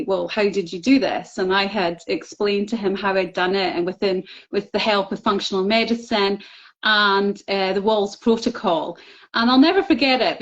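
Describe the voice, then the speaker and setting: A middle-aged woman shares her story in an open, earnest way, filling pauses with "um". Her pitch sits in the middle of the range. The audio is a bit muffled and lo-fi. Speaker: guest, book contributor. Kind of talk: casual interview chat. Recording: slightly dull, lo-fi audio.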